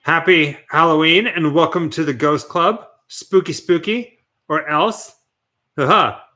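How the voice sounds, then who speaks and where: A man co-hosts a casual live stream and reads viewer messages aloud in a laid-back way. His voice is bright and slightly higher than most men's. He keeps a relaxed, offhand tone between the comments. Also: measured pace. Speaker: co-host of a live watch party stream.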